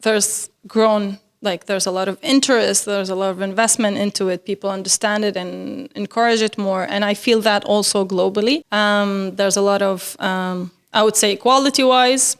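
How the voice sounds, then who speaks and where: A woman's voice: medium pitch, steady tone, moderate pace, hardly any pauses, smooth. A young woman from Syria, a guest artist talking casually and thoughtfully, laughing often. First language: Arabic. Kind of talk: casual interview chat.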